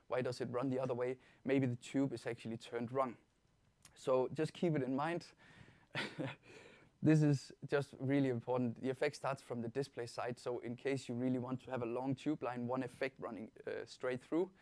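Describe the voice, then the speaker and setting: A man with a Danish accent giving an informal software tutorial as a webinar presenter, explaining things step by step in a reassuring way. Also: medium pitch, dry recording. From Denmark. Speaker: webinar presenter, product trainer.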